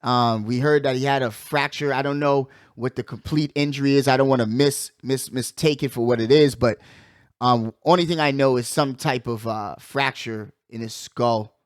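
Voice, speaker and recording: A man hosting a casual sports talk show, giving animated, slangy commentary and repeating phrases for emphasis. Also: medium pitch, normal speed, no echo, clean studio sound. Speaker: sports podcast host.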